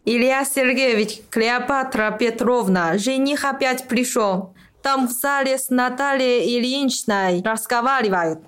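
Woman acting a blunt, high-voiced servant. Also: unhurried, clean tone, close to an everyday voice. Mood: reporting. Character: blunt, practical servant woman in a provincial household. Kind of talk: radio play acting.

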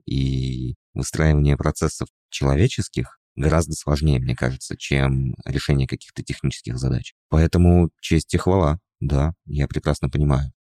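A man's voice: rumbling low, steady tone, moderate pace, hardly any pauses, mellow, no room sound, clean studio sound. A man co-hosting a casual podcast chat about his work as a developer, talking with wry jokes and mock-exasperated asides. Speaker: podcast co-host and frontend developer.

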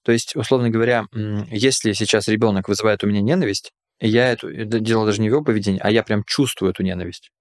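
A man's voice: lowish voice, fairly even intonation, very fast, hardly any pauses, dry recording, clean studio sound. A man hosting a podcast in casual conversation, explaining ideas in a hesitant, reflective way with many fillers. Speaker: podcast host.

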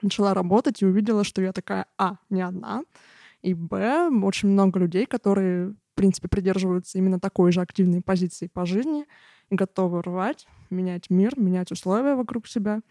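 Woman, 20s, thoughtful guest, mellow tone, dry close sound. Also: medium pitch, a little fast, no room sound. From Russia. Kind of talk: casual conversation.

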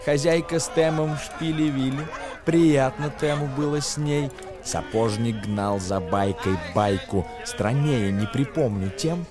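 A man's voice: medium pitch, a little slow. Theatrical male storyteller over prominent music. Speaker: actor narrating a tale. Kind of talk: dramatic verse recitation for radio play.

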